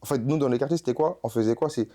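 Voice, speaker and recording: A young man from Congo chatting in an interview, telling stories in an animated, slangy way and laughing often. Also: mid-range voice, rapid-fire. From Republic of the Congo. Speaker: rap artist guest. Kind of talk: casual interview chat.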